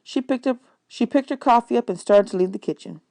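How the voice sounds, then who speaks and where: A woman's voice: on the high side, brisk, creaky, mellow, no echo. A woman reading a children's novel aloud as a storyteller. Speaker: audiobook reader, teacher.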